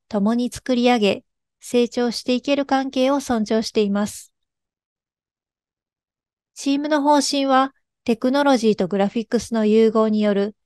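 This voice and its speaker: A woman's voice: fairly high pitch, darkish tone, no room sound, studio quality. A woman giving a polite, formal scripted presentation.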